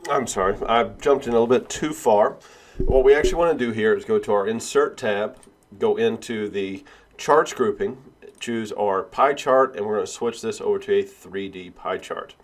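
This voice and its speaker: Male instructor, lowish voice, stuffy with a cold, walks through each step, fillers like "uh". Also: moderate pace. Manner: step-by-step, with frequent "uh" and "all right". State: has a cold, sniffling. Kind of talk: screen-recorded software tutorial.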